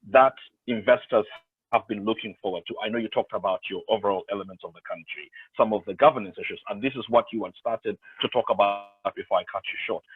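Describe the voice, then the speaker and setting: A man moderates a panel discussion in a conversational way, hesitating briefly as he passes the floor. His husky voice comes through a tinny, phone-like line. The sound is dry, with no room in it. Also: on the low side, dry recording. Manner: conversational, with backchannel affirmations and hesitations.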